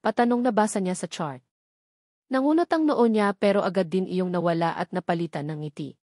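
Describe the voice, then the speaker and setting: A woman's voice: mid-range voice, darkish tone, dry recording, studio quality. A woman with a Filipino accent reading a scripted first-person novel as its narrator. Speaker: story narrator.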